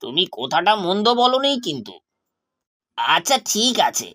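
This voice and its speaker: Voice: medium pitch, very animated, measured pace, sharp tone. A performer voicing a trusting, affectionate father in a cartoon, agreeable and coaxing in manner. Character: trusting, affectionate widowed father, easily persuaded. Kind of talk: cartoon voice acting.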